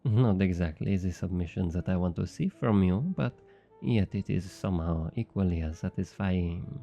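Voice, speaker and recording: An actor playing a smug, flirty thief with a French accent, teasing and coaxing in an intimate ASMR roleplay. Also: male voice, sits low, normal speed, gravelly, warm tone, soft background music. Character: obnoxiously flirty, smug male thief and information broker.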